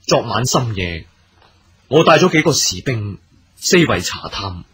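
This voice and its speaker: A male actor playing a grave, deliberate army commander in a period radio drama, speaking formal, measured Hong Kong Cantonese. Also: fairly low pitch, big pitch swings, a bit bright, slightly rough, exaggerated voice. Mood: deliberating. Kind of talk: theatrical radio drama acting.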